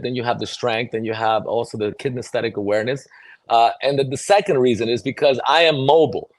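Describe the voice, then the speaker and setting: A middle-aged man from New York City by way of El Salvador gives conversational interview answers. His medium-pitched voice is earnest and explanatory. The sound is close and dry, with no room around it. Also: no echo. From New York City, born in El Salvador. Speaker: podcast guest, mobility fitness trainer.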